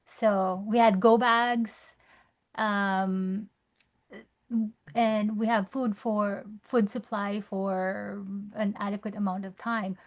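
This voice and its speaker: A woman from the Philippines, sharing from her experience in a measured, thoughtful way. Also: medium pitch, fairly even intonation. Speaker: call participant.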